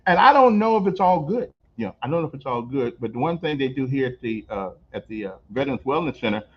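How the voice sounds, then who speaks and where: An older man hosting a casual on-location interview, telling stories in an animated way, repeating words and laughing as he goes. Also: medium pitch, fast, slightly rough. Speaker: host. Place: on location at a veterans center. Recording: dull lo-fi recording.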